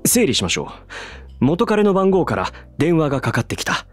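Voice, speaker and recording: An actor playing a calm, deadpan male bartender who cracks absurd jokes and explains theories at length, in a voice drama. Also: on the high side, normal speed. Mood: dry. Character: calm deadpan male bar master, joking.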